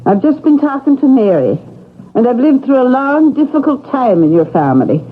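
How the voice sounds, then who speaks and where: A woman's voice: sits high, big pitch swings, moderate pace. A long-serving Irish housekeeper, loyal, effusive and full of feeling. She speaks in old radio drama style. The recording is very narrow and tinny, with faint noise behind. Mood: bitter, resolute. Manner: pleading, effusive, endearing address. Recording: thin, noisy old radio broadcast.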